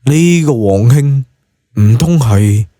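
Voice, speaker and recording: Lively Hong Kong Cantonese male narrator. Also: mid-range voice, takes it slowly, no room sound, studio quality. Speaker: audiobook narrator. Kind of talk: dramatised scripted narration of a web novel.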